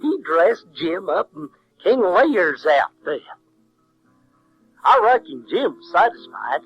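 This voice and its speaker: A southern country boy telling his adventures, highish voice, folksy and wry, bit of music. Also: sing-song, slow pace, muffled recording, exaggerated voice. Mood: wry, easygoing. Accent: American South. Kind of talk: in-character narration in audio drama. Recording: 1970s audio drama with music.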